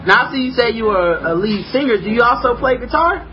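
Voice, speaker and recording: A man hosting a podcast who says he has a high-pitched voice, joking around and swearing casually as he reads listener questions. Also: animated, a little fast, highs cut off, soft background music. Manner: joking, profane, easily amused. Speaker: podcast host. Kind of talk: casual chat.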